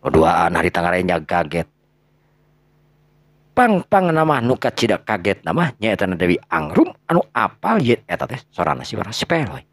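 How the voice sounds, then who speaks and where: Sundanese guy telling a folktale, really low voice w big swoops, suspenseful broken phrases, dry recording. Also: wildly swooping pitch, moderate pace, no room sound. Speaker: storyteller. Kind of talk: dramatic folktale narration.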